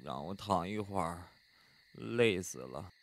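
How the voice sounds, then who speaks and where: A man playing a gruff, stubborn, easily exasperated young rich son in an audio drama. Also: low voice, lively intonation, measured pace. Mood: weary, dry. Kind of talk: Chinese fan audio drama acting.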